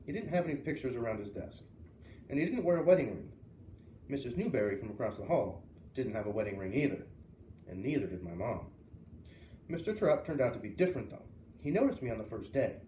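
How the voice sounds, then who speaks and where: A man's voice: medium pitch, very animated, a little fast, dark tone. A man reading a children's novel aloud as a storyteller, expressively acting out the dialogue. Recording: roomy, distant mic with faint hiss.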